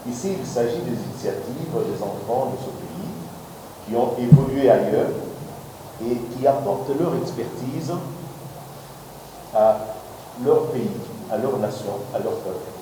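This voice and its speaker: Male guest, slow and deliberate, far from the mic, echoey room. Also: medium pitch, dark tone. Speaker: platform president, guest. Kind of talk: interview answers. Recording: echoey room, distant mic, background noise.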